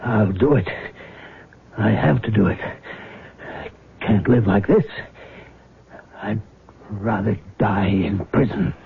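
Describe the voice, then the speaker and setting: An actor in a 1970s radio drama playing a weary, secretive middle-aged husband, bitter and hesitant, with a husky voice. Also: male voice, fairly low pitch, narrow, tinny audio, background noise. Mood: grimly secretive. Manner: hesitant, evasive, halting. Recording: band-limited vintage radio broadcast.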